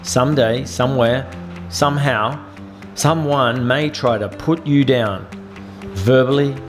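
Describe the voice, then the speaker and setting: A middle-aged man delivers a scripted coaching pitch in an earnest, measured and emphatic way. His voice sits at an ordinary male pitch. Music plays clearly behind him. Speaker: self-defense coach. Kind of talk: scripted promotional narration. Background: background music.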